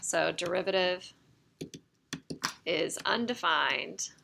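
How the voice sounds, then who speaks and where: Creaky-voiced woman teaching calculus. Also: fairly low pitch, takes it slowly, background noise. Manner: explains step by step, checking in with "right?". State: slightly losing her voice. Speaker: math teacher. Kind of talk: classroom lecture. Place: classroom.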